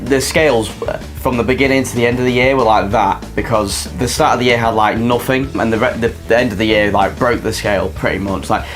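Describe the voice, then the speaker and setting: Chatty man with a raspy voice. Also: medium pitch, fairly even intonation, a little fast, soft background music. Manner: chatty, many fillers and restarts. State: slightly ill, raspy voice. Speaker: video game reviewer. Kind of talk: casual rambling game review.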